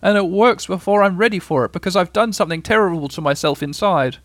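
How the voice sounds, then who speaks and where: A man voicing a kindly, slow-witted toy bear who thinks aloud in a bumbling, earnest way for a storybook reading. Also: high voice, dry recording, clean studio sound, voice pushed up, close to an everyday voice. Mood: bothered, flustered.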